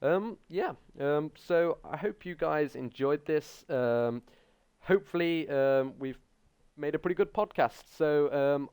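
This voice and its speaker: A man's voice: a little high, animated, measured pace, a little mellow, smooth. A young man hosting a casual tech podcast, talking hesitantly with many ums. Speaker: podcast host.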